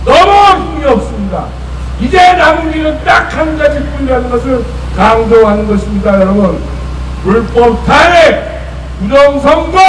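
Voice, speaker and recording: A man giving an impassioned, declamatory protest speech, stretching key phrases in a slightly strained voice. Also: extremely high pitch. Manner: declamatory, emphatic, drawn-out phrases. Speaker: political rally speaker. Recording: echoey, noisy outdoor loudspeaker, distant mic.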